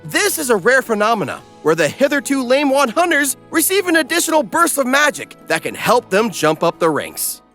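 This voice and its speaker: A man narrates a comedic recap from a script. His delivery is wisecracking and animated, with a bright, forceful tone and lively swings in pitch over faint music. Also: normal speed, few pauses. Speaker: narrator, host.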